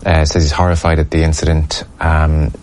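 A man's voice: very deep voice, little pitch movement, moderate pace, darkish tone. A man interviewing a guest, giving short backchannels and asking questions hesitantly with fillers.

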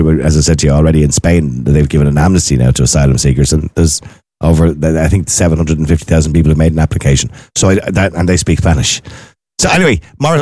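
A middle-aged Irish man hosts a call-in radio show in a rapid, argumentative way. His low voice swoops widely in pitch as he interrupts and presses his point. The studio sound is close and dry. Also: mellow, dry recording. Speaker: radio phone-in host.

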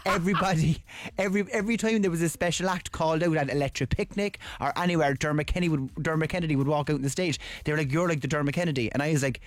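A man's voice: on the high side, rapid-fire, no room sound. A young man with a Cork accent, a radio presenter chatting casually and rambling in a studio. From Ireland. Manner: chatty, rambling, laughs easily. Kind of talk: casual chat. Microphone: studio mic.

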